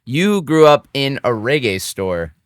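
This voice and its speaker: A man hosting a podcast interview, playful and quick to laugh, with loose, rambling questions. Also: medium pitch, sing-song, dry recording, clean studio sound. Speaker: podcast host. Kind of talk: casual interview chat.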